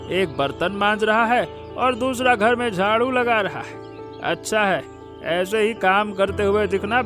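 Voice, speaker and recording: A man playing a gruff, scornful village farmer, the grown son in a cartoon story, speaking curtly and sarcastically. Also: high-pitched. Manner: curt, sarcastic, nagging. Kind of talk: Hindi moral cartoon story dialogue.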